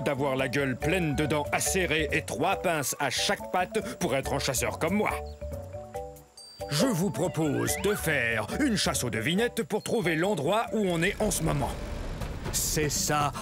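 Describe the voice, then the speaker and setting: An actor voicing a boastful but laid-back predatory dinosaur, chatting casually to viewers in an educational cartoon. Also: male voice, a little high.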